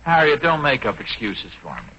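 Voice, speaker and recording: A man's voice: mid-range voice, huge pitch swings, normal speed, sharp tone, heavily acted. An American actor playing a sheepish, self-pitying husband and father in a 1940s radio comedy. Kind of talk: 1940s radio sitcom acting. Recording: noisy, muffled 1940s radio recording.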